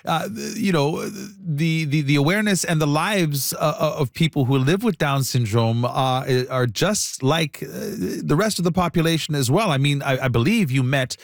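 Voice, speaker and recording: A man's voice: fairly high pitch, moderate pace, few pauses, no echo, clean studio sound. A man interviewing a guest, with affirming listening sounds and lead-ins that stumble before he gets to the question.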